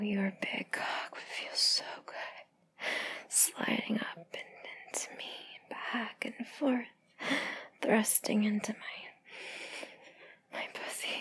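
A woman whispering in a breathy, sultry, teasing voice in an intimate adult roleplay audio, with moans between phrases. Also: medium pitch, fairly bright tone.

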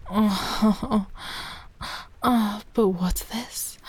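A woman voicing a doting, possessive motherly female dragon in an intimate audio roleplay, speaking in a soft breathy whisper. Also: on the low side, heavily acted.

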